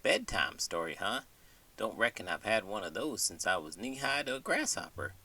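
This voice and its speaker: A good-natured cowboy acted out by a man drawls in a folksy American western accent. His pitch swings widely and the tone is bright and a little rough. He sounds puzzled by the world, as in a bedtime story skit. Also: very animated, moderate pace, far from the mic, exaggerated voice. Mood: amused, folksy. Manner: folksy, colorful cowboy idioms. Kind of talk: scripted comedic bedtime interlude.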